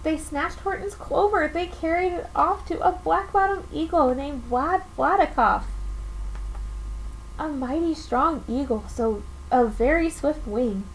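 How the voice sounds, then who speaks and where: A woman reading a story aloud to children in an expressive teacherly style. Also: sits high, measured pace, low-quality recording. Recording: faint background noise.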